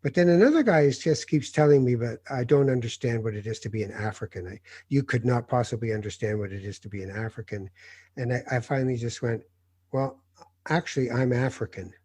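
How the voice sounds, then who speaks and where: A middle-aged journalist from Morocco talks in an easy, conversational way, with a slightly husky voice at a medium pitch. He tells his stories with animation and slips into the voices of the people he quotes. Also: fairly quick, a little mellow, no echo, clean studio sound. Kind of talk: casual conversation, storytelling.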